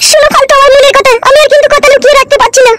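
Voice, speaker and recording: A woman's voice: extremely high pitch, flat delivery, racing, over music. A woman voicing a lovesick, pleading, insistent young woman in an amateur comedy cartoon.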